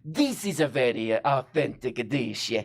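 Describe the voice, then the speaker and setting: A performer voicing a self-styled Sicilian version of a celebrity chef, with a deliberately bad fake Italian accent and halting speech full of "uh" pauses. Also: medium pitch, expressive, creaky, breathy edge, heavily acted. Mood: earnest. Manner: hesitant, halting with many uh pauses. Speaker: comedy guest character.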